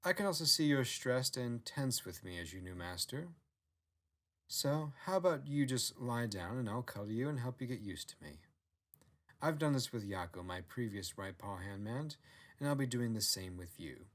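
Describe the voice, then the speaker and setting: A man's voice: medium pitch, lively intonation, moderate pace, lightly acted. A man voicing a proud, self-assured fox queen who commands and coaxes in a storybook narration. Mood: coaxing, proud.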